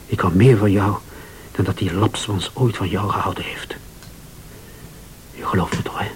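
An actor as anxious pleading husband, lowish voice. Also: male voice, a little hiss or hum, lightly acted. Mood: reproachful, pleading. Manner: pleading, defensive, halting when pressed. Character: Alain, anxious, pleading, guilty husband and ex-barkeeper. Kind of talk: radio thriller acting.

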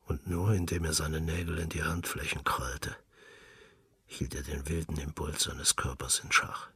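Deep, husky man narrating. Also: flat delivery, a little slow, sharp tone, no room sound, distant mic. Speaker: radio drama narrator. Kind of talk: literary scripted narration.

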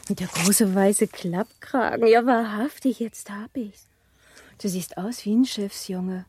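An actress playing a prim, cautious teenage pastor's daughter in a literary radio play, talking in a chiding manner. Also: female voice, mid-range voice, measured pace, a little mellow, husky, no room sound, clean studio sound. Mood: prim, reproachful.

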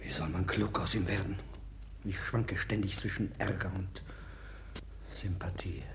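A man's voice: sits low, unhurried. An actor playing a troubled, wavering king of ancient Judah in a formal 1960s radio play style. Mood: hushed, resigned. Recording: worn vinyl record with clear noise.

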